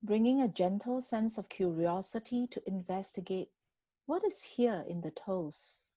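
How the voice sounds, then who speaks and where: A woman guiding a body scan meditation in a gentle, unhurried manner with long pauses between phrases. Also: mid-range voice, animated, dry recording. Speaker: meditation guide.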